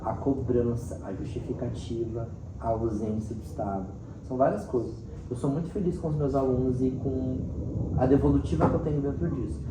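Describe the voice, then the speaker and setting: A man with a central-western Brazilian accent talking casually and expressively as a teacher being interviewed, sprinkling in little acted quotes. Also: mid-range voice. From Mato Grosso do Sul, Brazil. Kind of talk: casual, animated storytelling in interview. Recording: roomy, distant mic, faint background noise.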